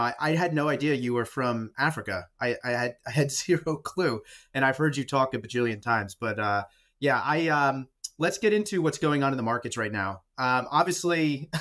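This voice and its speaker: A man's voice: medium pitch, a bit bright. A man hosting a podcast in a casual, informal and jokey manner. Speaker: podcast host.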